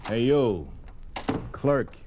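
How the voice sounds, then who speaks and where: An actor playing a bullying, suspicious secret police agent in a 1950s radio drama, curt and menacing. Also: male voice, medium pitch, animated, very slow, noisy background. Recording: old radio recording, loud music.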